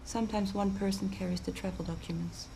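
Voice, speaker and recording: A woman's voice: mid-range voice, fairly even intonation, a little fast, gravelly, warm tone, a little hiss or hum, natural voice, poor audio. An actress playing a composed, defiant researcher being questioned in a naturalistic TV drama, her answers terse and cutting. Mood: guarded, terse.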